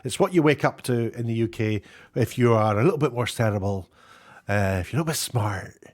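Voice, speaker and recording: A man's voice: mid-range voice, expressive, brisk. British male commentator, casual and opinionated, mocking delivery with an incredulous, exasperated edge. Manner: sarcastic, mocking. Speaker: YouTube commentator.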